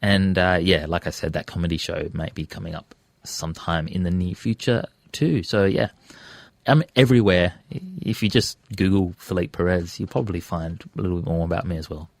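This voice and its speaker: A man's voice: low-pitched, steady tone, moderate pace, no echo. A man, a radio presenter appearing as a guest, chatting casually into a studio microphone. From Australia. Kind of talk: casual interview chat. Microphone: dynamic studio microphone.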